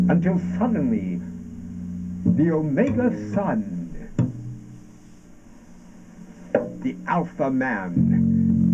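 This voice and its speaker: A fervent male orator from a surreal stage radio play, slow and declamatory. He accuses in halting, drawn-out phrases that swoop widely. Loud plucked guitar plays behind him. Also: a little high, full character voice. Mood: solemn, incantatory. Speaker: stage actor. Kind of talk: theatrical avant-garde radio play recitation. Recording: dull lo-fi stage performance recording.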